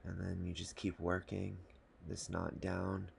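A man calmly explaining a fishing knot step by step at a desk indoors. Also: low voice, gravelly, a little hiss or hum. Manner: calm, matter-of-fact, unhurried. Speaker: fly fishing tutorial host. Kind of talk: step-by-step instructional talk. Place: small indoor room at a desk.

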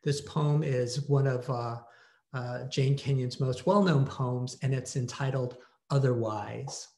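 A man's voice: mid-range voice, slow, a little mellow. An older man giving a poetry reading from a room at home over a video call. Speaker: poet at a reading. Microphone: video call, computer mic. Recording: roomy video call sound.

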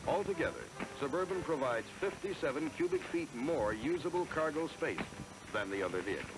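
A man's voice: a little high, lively intonation, a little fast, poor audio. An American man reading scripted promotional narration in a polished, emphatic salesman style, on a 1970s film soundtrack. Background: music.

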